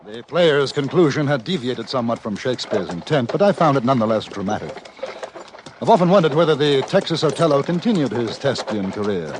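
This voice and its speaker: An actor playing an urbane English newspaper correspondent, narrating a radio western in a wry, formal, articulate way. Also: male voice, medium pitch, very animated, a little fast, lightly acted. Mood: wry, reflective. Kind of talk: scripted radio drama narration. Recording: faintly noisy vintage radio recording.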